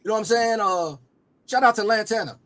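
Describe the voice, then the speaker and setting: A man's voice: high voice, brisk, a bit bright. A man, hosting a loose, rambling casual chat and often repeating himself. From Cincinnati area. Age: 40s. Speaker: rapper and host.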